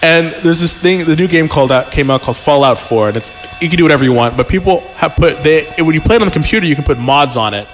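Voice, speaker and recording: A man's voice: on the high side, fast talker, hardly any pauses, soft background music. A man hosting a comedic podcast, chatting casually and profanely as he reads a story aloud and breaks off to comment in amusement. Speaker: podcast host.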